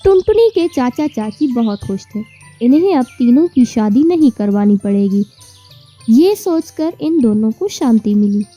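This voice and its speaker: A woman's voice: a little high, expressive, unhurried, warm tone, breathy edge. A woman narrating a scripted children's story, with music and birdsong behind her.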